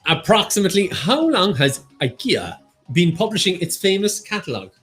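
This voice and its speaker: An Irish man hosting a lively pub quiz, his voice a touch high and swinging widely in pitch. He banters and reads out answer shares, with faint music running underneath. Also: normal speed. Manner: animated, joking, reads answers and percentages. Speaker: quiz host.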